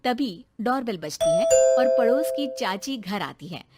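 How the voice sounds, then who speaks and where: Woman storybook narrator; high, lively, brisk. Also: loud music behind the voice.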